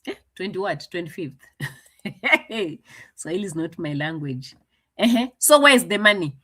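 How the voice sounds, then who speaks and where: A woman's voice: lowish voice, animated, normal speed, a bit bright. A woman commenting casually on a clip, translating and mocking with frequent laughs.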